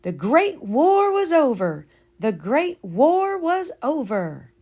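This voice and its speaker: A woman voicing an elderly great-great-aunt who reminisces fondly and dramatically in a storybook, savoring her pauses. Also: sits high, big pitch swings, a little mellow, voice pushed up, exaggerated voice. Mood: proud, excited.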